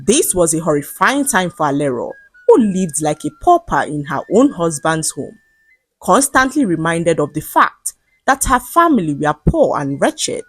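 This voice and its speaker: A woman narrating a scripted folktale in a measured, dramatic storytelling manner. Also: on the low side, slightly rough, dry recording. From Nigeria.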